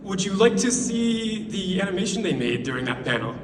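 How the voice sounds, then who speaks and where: A man's voice: high voice, big pitch swings, a little fast, recorded from a distance, a little hiss or hum. A man co-hosting a convention ceremony in hesitant casual chat. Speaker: convention co-host. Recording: echoey hall, crowd behind.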